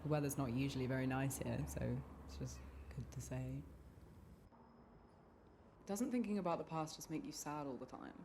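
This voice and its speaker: A woman's voice: extremely low pitch, moderate pace, pauses often, background noise. A woman playing a guarded, unsentimental young woman in a naturalistic radio play, speaking in an offhand, colloquial way.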